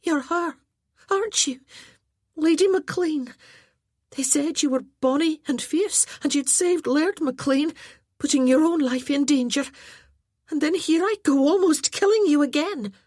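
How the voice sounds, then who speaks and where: A woman's voice: high voice, measured pace, a little mellow, no room sound, clean studio sound, voice pushed up. A woman voicing a clumsy, tearful young noblewoman who talks in a breathless, apologetic rush. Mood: nervous, eager.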